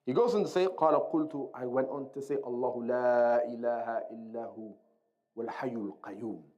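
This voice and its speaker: A man with a medium-pitched voice teaches an Islamic lesson. He explains the text in a didactic way, weaving Arabic quotations into his English. Key terms are repeated for emphasis as he goes. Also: warm tone, rough recording. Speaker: religious teacher.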